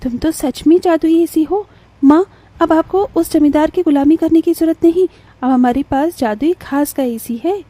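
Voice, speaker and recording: Poor, hopeful girl voiced by a woman: high but very soft-toned, earnest, plaintive, dry cartoon fable read. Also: moderate pace, no room sound, natural voice. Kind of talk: animated moral story dialogue.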